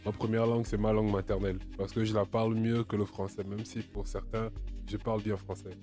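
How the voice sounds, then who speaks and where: Low mellow-voiced guy, french isn't his first language, lots of restarts, soft music underneath. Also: level tone. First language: another language than French. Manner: halting, many restarts. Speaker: musician guest. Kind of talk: casual interview talk.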